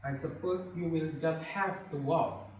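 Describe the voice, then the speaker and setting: A man's voice: fairly high pitch, unhurried, lightly acted. A man acting a practical, blunt fairy godmother's assistant in a scripted readers' theatre. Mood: matter-of-fact. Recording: very echoey, distant mic, faint noise.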